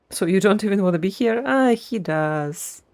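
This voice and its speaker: A woman's voice: mid-range voice, animated, a little slow, darkish tone. A woman game streamer giving casual live commentary in a dry, teasing way. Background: game music.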